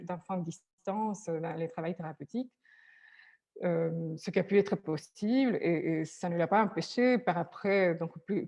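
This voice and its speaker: A woman's voice: fairly low pitch, warm tone. Hesitant Spanish-native woman therapist. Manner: hesitant, many restarts and fillers. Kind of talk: talk on language in therapy.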